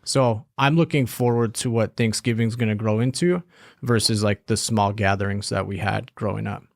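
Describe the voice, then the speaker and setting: A young man from Southern California co-hosting a podcast, relaxed and reflective in casual chat, often saying "like". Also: lowish voice, normal speed, gravelly, dry recording, studio quality. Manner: easygoing, reflective, says "like" often. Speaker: podcast co-host.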